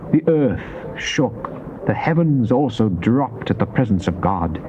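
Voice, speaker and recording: Lo-fi tape, male scripture reader, mellow dark tone, declamatory, solemn, theatrical. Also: medium pitch. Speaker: Bible reader. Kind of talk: dramatized scripture reading. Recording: dull, lo-fi cassette with faint noise.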